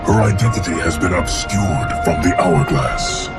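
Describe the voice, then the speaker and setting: A man's voice: low-pitched, measured pace. A man playing an impassive immortal keeper of time in a video game, speaking in terse, formal statements. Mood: detached, grave. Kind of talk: cinematic video game acting. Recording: loud music behind the voice.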